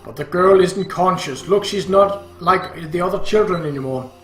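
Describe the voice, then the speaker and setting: A man voicing a harsh, bitter, worn-out father in an audiobook, gruff and blunt. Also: fairly high pitch, normal speed, fairly bright tone, echoey room, faint music behind, natural voice. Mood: angry. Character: harsh, bitter, exhausted father of a comatose girl.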